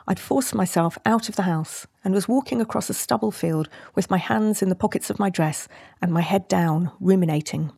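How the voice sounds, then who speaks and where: A British woman, a nature writer, reading a descriptive nature essay in a measured literary style. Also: mid-range voice, creaky, dark tone, breathy edge, no echo, studio quality. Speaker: nature writer and podcast host.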